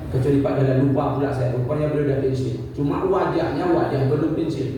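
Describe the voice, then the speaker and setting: A man's voice: medium pitch, steady tone, fast talker, background noise. A man in his fifties giving a religious lecture, asking rhetorical questions, repeating his points and joking lightly with the congregation. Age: 50s. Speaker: preacher. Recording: echoey hall from far off.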